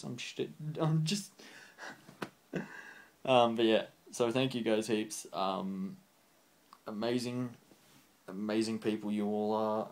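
A young man signing off his vlog, rambling off the cuff and earnest, his voice getting a bit croaky. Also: fairly low pitch, a little mellow. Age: young adult. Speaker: channel host. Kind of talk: unscripted vlog sign-off. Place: small room indoors, on a couch.

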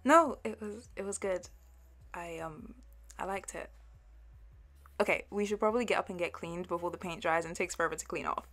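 A woman's voice: fairly low pitch, moderate pace, long pauses, a bit bright, soft background music. A young woman playing a flustered, playful artsy college girl with a crush, stammering and giggly in an intimate audio roleplay. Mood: flustered, tender. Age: young adult.

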